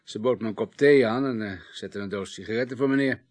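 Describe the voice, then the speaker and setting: An actor playing a formal, contrite undertaker in a radio play, speaking in precise, legalistic phrases with hesitations. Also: male voice, lowish voice, a little fast, darkish tone, lightly acted. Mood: careful recounting. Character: formal, contrite male undertaker giving a careful statement. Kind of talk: 1980s Dutch radio play acting.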